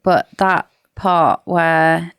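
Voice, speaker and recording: Low-pitched, slightly creaky woman chatting. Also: level tone, extremely slow. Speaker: podcast co-host. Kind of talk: casual book discussion chat.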